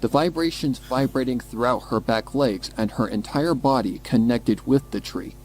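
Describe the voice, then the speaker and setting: A man's voice: mid-range voice, moderate pace, a little mellow, low-quality recording. Man narrating a suspenseful story over music. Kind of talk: dramatic scripted story narration. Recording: music behind the voice.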